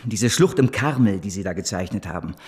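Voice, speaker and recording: An actor playing an ambitious, fervent Parisian financier in a German radio drama, speaking in a grandiose, persuasive way. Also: male voice, fairly low pitch, lively intonation, a little fast, darkish tone, natural voice. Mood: visionary fervour.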